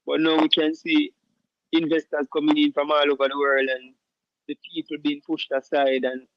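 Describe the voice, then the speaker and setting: A man's voice: on the high side, little pitch movement, moderate pace, no echo. Easygoing Jamaican man, slightly muffled. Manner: easygoing, many fillers and restarts, patois shout-outs. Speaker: musician guest. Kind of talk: casual interview answers.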